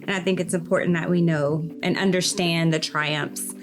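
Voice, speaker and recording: A woman's voice: fairly low pitch, steady tone, normal speed, music in the background. A woman hosting a podcast, interviewing a guest in a conversational way.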